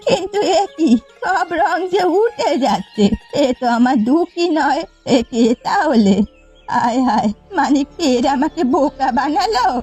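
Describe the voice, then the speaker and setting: Cartoon old village woman played by a woman, indignant and doting, high, very animated delivery, faint music behind. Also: unhurried. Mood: dismayed, indignant. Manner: exclamatory, dramatic complaining. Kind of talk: animated folk-tale cartoon acting.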